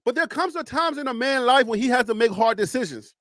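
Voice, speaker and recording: A man's voice: fast, dry recording, studio quality. A man with a Liberian accent hosting a live stream, arguing emphatically with rhetorical questions and teasing. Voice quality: animated, rising pitch when arguing. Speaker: live show host. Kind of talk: casual live-stream commentary.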